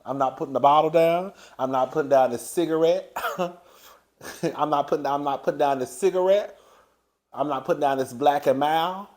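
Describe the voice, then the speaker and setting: A man's voice: on the high side, moderate pace, a little mellow, lightly acted. Stubborn defiant pregnant woman, put on by a man, repetitive insisting. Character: defiant pregnant woman refusing to quit drinking.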